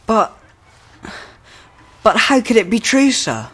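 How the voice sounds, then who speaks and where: Animated, earnest schoolboy. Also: medium pitch, slow pace, breathy edge, faint music behind, heavily acted. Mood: puzzled, sceptical. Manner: polite, earnest, questioning. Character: earnest, polite schoolboy, eldest brother. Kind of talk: radio drama acting.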